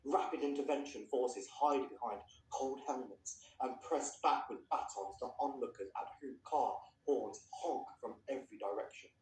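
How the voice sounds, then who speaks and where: A man reads literary fiction aloud in an echoey room. His mid-pitched voice sits some distance from the microphone, with the delivery of someone presenting a story to listeners. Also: darkish tone. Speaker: reader introducing and reading a story. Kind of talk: literary reading aloud with informal introduction.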